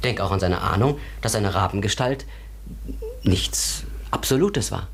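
An actor playing a philosophical, thoughtful companion in a German radio drama, explaining things in a deliberate, contemplative way. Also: male voice, fairly low pitch, lively intonation, slight background noise. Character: philosophical man pondering another world, thoughtful companion.

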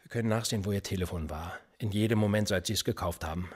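An actor playing a police interrogator in a radio play, sardonic and menacing, needling with theatrical rhetorical questions. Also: male voice, on the low side, fast talker, breathy edge. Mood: sly, menacing. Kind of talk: naturalistic German radio play acting.

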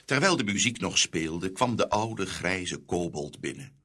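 A man narrating a fairy tale in an expressive, scripted storybook style. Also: sits low, unhurried.

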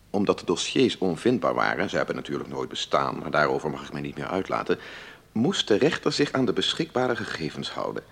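Low gravelly animated male lawyer. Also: full character voice. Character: male defence lawyer writing exasperated letters to a friend. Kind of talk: radio drama letter monologue.

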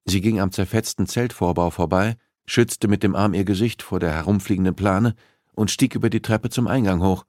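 A man's voice: low voice, normal speed, a little mellow, no room sound, clean studio sound. A man reading a suspense novel aloud as scripted, dramatic audiobook narration.

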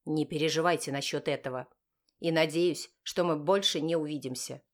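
A woman's voice: sits low, steady tone, normal speed, voice pushed down, close to an everyday voice. A woman voicing a stern, wounded father, curt and firm, in an audiobook drama. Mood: curt, resolute. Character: stern, wounded, authoritative father of a teenage girl.

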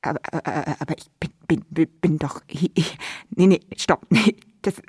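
A woman playing a bewildered performer in a stage drama who insists she is real, halting and repeating herself. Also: deep voice, darkish tone, husky, no room sound, studio quality. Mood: panicked, insistent. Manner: halting, repetitive, protesting. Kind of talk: theatre monologue and dialogue in German.

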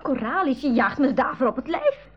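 Plucky high-voiced young girl. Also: sing-song, a little fast, husky, soft background music, full character voice. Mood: alarmed. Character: plucky young girl adventurer, easily alarmed but hopeful. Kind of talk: 1980s Dutch-language school radio play acting. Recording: dull lo-fi 1980s radio recording.

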